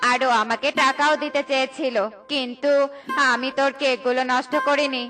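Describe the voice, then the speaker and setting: A woman's voice: high-pitched, flat delivery, normal speed, over music, close to an everyday voice. A woman voicing a poor, humble male rabbit in a children's cartoon, apologetic and pleading. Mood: confessing.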